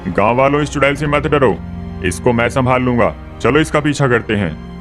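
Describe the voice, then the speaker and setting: A man's voice: mid-range voice, lively intonation, normal speed, over music, natural voice. A man voicing a greedy, scheming sorcerer in a cartoon story, declamatory and persuasive. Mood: rallying. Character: greedy scheming male tantric sorcerer rallying villagers. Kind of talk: Hindi cartoon story dubbing.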